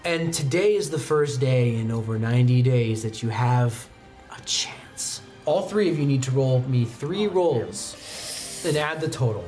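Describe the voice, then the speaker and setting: A man's voice: medium pitch, lively intonation, a little slow, a little mellow, soft background music. A man narrating a tabletop role-playing game as game master.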